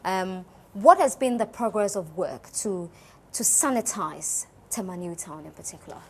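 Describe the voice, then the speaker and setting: A woman's voice: lowish voice, animated, moderate pace, a little hiss or hum. A woman hosting a political TV interview in a studio, asking direct, probing questions. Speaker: television host. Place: television studio.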